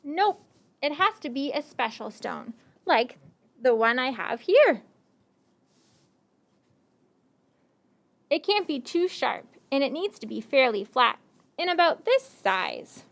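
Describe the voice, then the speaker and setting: A woman voicing a clever, friendly traveling stranger in a children's puppet show, with theatrical, deliberate phrasing. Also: high voice, sing-song, vocal fry, full character voice. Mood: instructive, sly. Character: friendly, tired, hungry wandering stranger puppet, cleverly coaxing.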